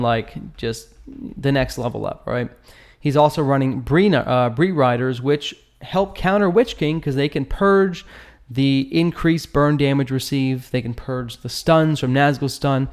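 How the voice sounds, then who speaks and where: A man's voice: mid-range voice, a little mellow. A man hosting a gaming video, talking through a build in an informal, slangy way with joking asides and frequent check-in questions. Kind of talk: casual gameplay build breakdown commentary.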